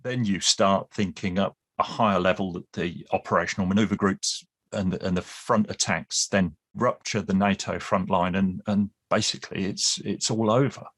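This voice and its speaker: Brief: male guest expert, lowish voice, talks in long explanatory runs with restarts and dry asides. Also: steady tone, normal speed, few pauses, fairly bright tone. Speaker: guest expert on Cold War British Army. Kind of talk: casual podcast discussion.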